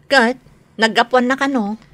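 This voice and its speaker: A bright-voiced, protective grown daughter. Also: female voice, on the high side, steady tone, measured pace. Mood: teasing. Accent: Ilocano. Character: indignant adult daughter, protective of her elderly parents. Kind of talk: Filipino radio drama acting.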